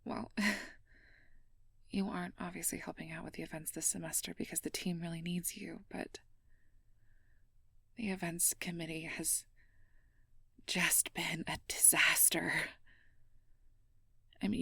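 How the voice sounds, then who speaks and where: Breathy, earnest young girlfriend voiced by a woman. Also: on the low side, level tone, moderate pace, pauses often, bright, forceful tone, no echo, clean studio sound, full character voice. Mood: frustrated, reluctant. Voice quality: breathy, often whispered. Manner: earnest, hesitant, careful polite phrasing. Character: sweet, anxious, conscientious young air mage girlfriend confiding her worries. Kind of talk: ASMR role-play, intimate personal address.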